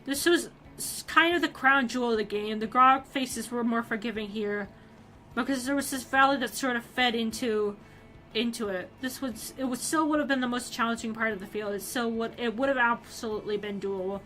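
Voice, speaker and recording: A young woman streamer reading a story aloud on her live stream, animated and excitable, often restarting her sentences. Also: a little high, brisk, low-quality recording.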